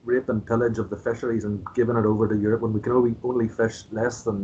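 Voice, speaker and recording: Irish male, steady pitch, lo-fi dull recording, measured talk with "uh" fillers. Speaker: political party member. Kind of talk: casual political discussion. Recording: dull lo-fi audio with faint hiss.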